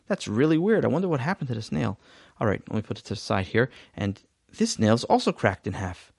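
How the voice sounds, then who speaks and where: A man voicing a calm, weary father who sighs and stays patient. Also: mid-range voice, quick pace, creaky, husky, no echo, studio quality, exaggerated voice.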